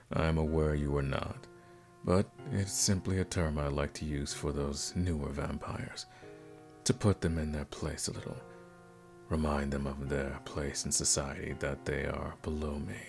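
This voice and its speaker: Man playing a very deep, seductive male vampire. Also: steady tone, creaky, darkish tone, soft background music, full character voice. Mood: condescending, charming. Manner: formal, slow, seductive, menacing. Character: suave, predatory aristocratic male vampire, teasing and condescending. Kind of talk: ASMR roleplay, intimate scripted monologue.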